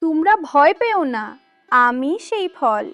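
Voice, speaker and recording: A woman voicing a kindly young magical fairy in a cartoon story, gentle, sweet and reassuring. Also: sits high, sing-song, measured pace, no echo, faint music behind. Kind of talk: cartoon moral story acting.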